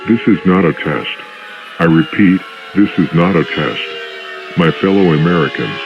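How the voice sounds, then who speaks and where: Very deep, grave government spokesman acted by a man. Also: slight background noise. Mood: official, grave. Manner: formal, grave. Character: grave, resigned male government representative addressing the nation. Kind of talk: scripted emergency address. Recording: dull lo-fi radio broadcast, music behind. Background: clear music.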